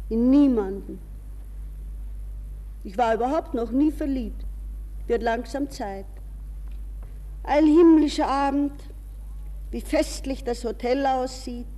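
A restless young lady in a radio monologue. Her voice is fairly high and very mellow, musing and self-questioning with an ironic edge. A long reverberation surrounds it. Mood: uneasy, mocking, then wistful. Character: restless, ironic, dreamy young society woman on holiday. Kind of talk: radio drama interior monologue.

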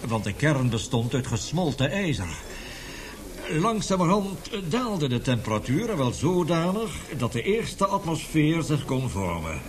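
An actor playing an older, indignant scientist professor in a radio play, vehement and lecturing, halting at times. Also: male voice, medium pitch. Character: indignant, passionate scientist professor with a weak heart. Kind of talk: radio drama acting.

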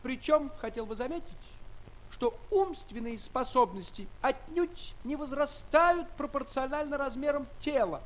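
An actor in an audio play playing a tiny, dignified human traveler among giants, speaking formally and earnestly. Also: male voice, very high-pitched, lively intonation, a little slow, low-quality recording. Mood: courteous, earnest.

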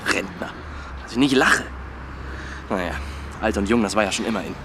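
A man's voice: fairly low pitch, moderate pace, gravelly, background noise. An actor playing a sullen, sarcastic and jaded younger man in a radio crime drama. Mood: scornful. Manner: sarcastic, dismissive.

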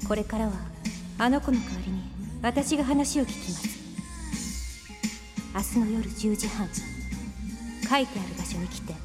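An actress playing a blunt, casual bar madam in a radio drama. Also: female voice, medium pitch, moderate pace, pauses often, music in the background, lightly acted. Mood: reading aloud. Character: blunt bar madam, unimpressed by her visitor.

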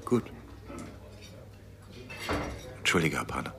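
An actor playing a protective American man who questions gently and informally in a radio drama. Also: rumbling low, big pitch swings, rapid-fire, gravelly, a crowd in the background, full character voice. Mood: gentle questioning. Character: protective, probing American man named Tom.

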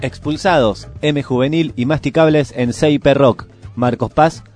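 Mid-pitched Argentine man reading over music. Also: a little slow. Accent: Rioplatense Argentine. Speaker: radio show presenter. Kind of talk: scripted music news and events listing read aloud. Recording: music playing behind the voice. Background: music bed.

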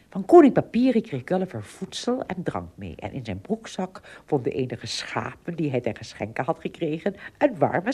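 A woman with a husky voice narrating an adventure radio play as scripted storybook narration. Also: fairly low pitch, dark tone.

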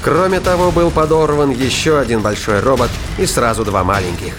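A man's voice: mid-range voice, moderate pace, noisy background. A man narrating a scripted science fiction thriller as an audiobook narrator. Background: music, battle sound effects.

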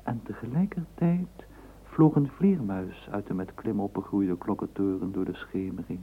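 An actor narrating a literary radio play as a measured, lyrical male storyteller. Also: lowish voice, close to an everyday voice. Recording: muffled, noisy old radio play recording.

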